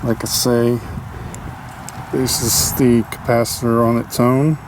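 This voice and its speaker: Slow-talking man over noticeable background noise. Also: mid-range voice, flat delivery. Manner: halting, thinking aloud. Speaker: hobbyist radio restorer demonstrating a repair. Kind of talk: casual unscripted hands-on demonstration. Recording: clearly noisy amateur video sound.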